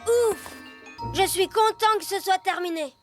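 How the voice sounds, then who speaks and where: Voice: very high voice, unhurried, faint music behind. A performer voicing a small, eager elf boy in a children's cartoon, with a plain childlike manner. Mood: relieved. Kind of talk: children's cartoon dub acting.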